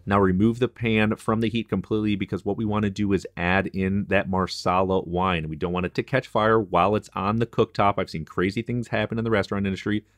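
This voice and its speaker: Chef narrating a recipe, low male voice, dry and close, clear practical instruction. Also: steady tone, brisk, hardly any pauses, no room sound. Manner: instructive, friendly, practical kitchen tips. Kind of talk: cooking tutorial voice-over.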